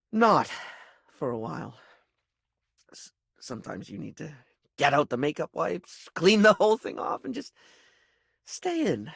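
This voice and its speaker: An actor playing a flamboyant, scatterbrained, beauty-obsessed dandy in a video game, with a camp, melodramatic and affected way of talking. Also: male voice, high voice, fairly bright tone, dry recording, studio quality, full character voice. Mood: dejected, self-critical. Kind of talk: expressive video game character acting.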